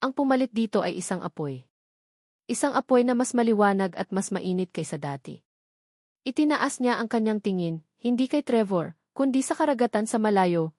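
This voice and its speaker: A woman's voice: medium pitch, a little mellow, no echo, studio quality. A woman reading scripted dramatic narration of a romantic story.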